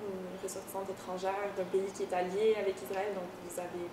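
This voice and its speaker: A woman interviewer asking questions conversationally, haltingly rephrasing as she goes. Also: mid-range voice, flat delivery. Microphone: off-mic, at a distance. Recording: distant from mic, echoey, noisy room.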